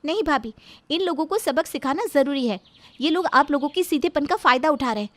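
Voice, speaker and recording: A woman's voice: sits high, animated, a little fast, no echo. A woman voicing a feisty, outspoken young daughter-in-law in a cartoon story, blunt and scolding. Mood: defiant.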